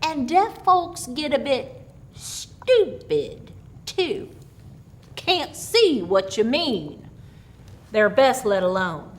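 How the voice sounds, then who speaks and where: A woman voices a gruff village husband in a spoken story, grumbling and impatient. He speaks in plain country dialect at a slow, unhurried pace, with lively swings in tone. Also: sits high, full character voice.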